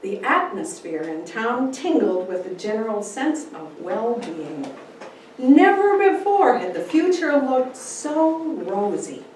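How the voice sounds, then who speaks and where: A woman giving a dramatic reading of a memoir, telling it in a deliberate, theatrical way with pauses for effect. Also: on the high side, big pitch swings, darkish tone. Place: large echoey indoor room. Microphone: distant camera microphone. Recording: very echoey room, distant, faint noise.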